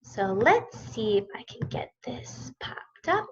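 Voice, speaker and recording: A woman with a high, very animated voice teaches young children at an unhurried story time. She uses simple phrases and prompting questions, and the sound is a little dull and lo-fi.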